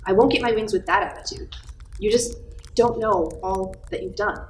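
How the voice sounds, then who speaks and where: A woman's voice: mid-range voice, moderate pace, slight background noise, natural voice. A woman playing an earnest, childlike second-class guardian angel, fussy and chatty, in an amateur radio play. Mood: insistent. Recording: roomy echo with music behind.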